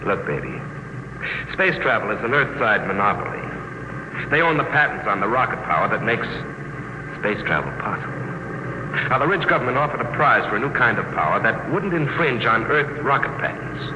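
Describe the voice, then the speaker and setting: A man's voice: medium pitch, sing-song, brisk, close to an everyday voice. An actor playing a sly, glib, folksy man with an insinuating manner in a 1950s science fiction radio drama. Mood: patronizing. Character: slick, sly man toying with stranded girl. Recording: echoey, noisy old radio transcription.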